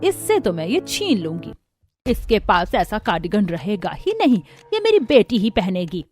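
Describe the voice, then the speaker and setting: A woman's voice: medium pitch, wildly swooping pitch, normal speed, darkish tone, husky. A woman playing a greedy, scolding, spiteful step-relative who bullies in a cartoon story. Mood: scheming. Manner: scolding, threatening. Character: greedy, bullying stepmother and stepsister type, spiteful. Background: music.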